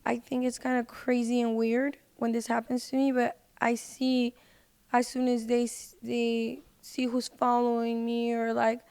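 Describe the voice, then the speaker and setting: Clear, higher-pitched woman in casual conversation. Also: completely flat delivery, unhurried, a little mellow. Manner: informal, slangy, frequent "like". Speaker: podcast guest, singer. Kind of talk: casual chat. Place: podcast recording.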